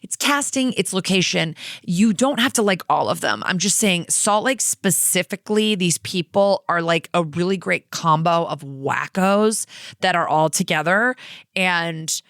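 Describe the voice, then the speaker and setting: A woman's voice: fairly low pitch, unhurried, a bit bright, no room sound. A woman co-hosting a podcast, talking in a chatty, gushing way and swearing casually into a close mic. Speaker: podcast co-host.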